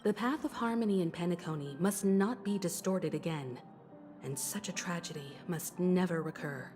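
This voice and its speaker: A woman voicing a poised, warm-toned crew director. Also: on the low side, close to an everyday voice. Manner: formal, measured, resolute. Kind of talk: video game voice acting. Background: game music.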